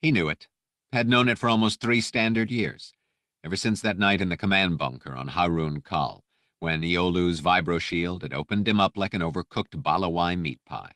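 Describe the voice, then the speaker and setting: A man reading a scripted audiobook story with measured, precise, dramatic narration. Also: sits low, a bit bright, dry recording, studio quality.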